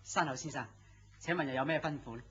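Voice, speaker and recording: Muffled, faintly noisy audio presents an actor as a mild, lovestruck young man of the office in a radio drama. He speaks Hong Kong Cantonese at a medium pitch. His manner is earnest and polite. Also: fast, pushed and bright, natural voice. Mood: deferential. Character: smitten, mild young male office employee.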